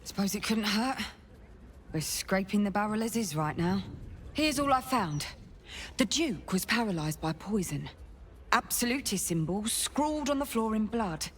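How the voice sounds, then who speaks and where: A woman's voice: medium pitch, expressive, normal speed, a little hiss or hum, close to an everyday voice. A woman playing a dutiful city guard investigator in a game, clipped, official and dryly wry. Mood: pensive, businesslike. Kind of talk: video game dialogue.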